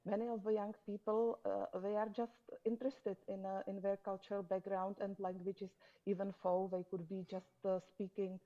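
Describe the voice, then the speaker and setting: A woman whose first language is Czech, answering on a conference panel in a halting way with many fillers and restarts. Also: mid-range voice, level tone, muffled recording. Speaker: conference panelist from a domain registry.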